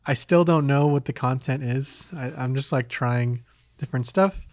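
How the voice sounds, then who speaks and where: A man's voice: medium pitch, normal speed, a little mellow, dry recording, studio quality. A man hosting a casual podcast, talking in a hesitant way as if thinking aloud. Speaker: podcast host.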